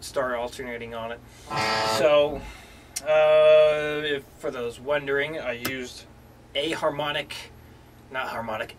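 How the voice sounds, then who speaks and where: Brief: male guitar teacher, laid-back, mid-range voice, somewhat distant mic. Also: medium pitch. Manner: relaxed, many fillers and restarts. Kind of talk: casual instructional talk. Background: guitar playing.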